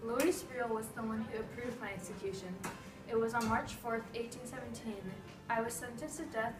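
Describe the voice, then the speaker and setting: A woman reading scripted answers in the role of a historical man, in a plain, steady way. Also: a little high, normal speed, natural voice. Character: grown man, a historical Canadian figure. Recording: echoey room, far from the mic, music behind.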